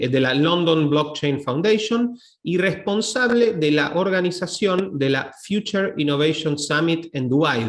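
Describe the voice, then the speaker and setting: A man's voice: fairly high pitch, reverberant. A man with a Latin American Spanish accent hosts a podcast, introducing his guest and asking questions with some hesitant, repeated words. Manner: hesitant, repeats words when switching to English. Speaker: podcast host. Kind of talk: podcast interview.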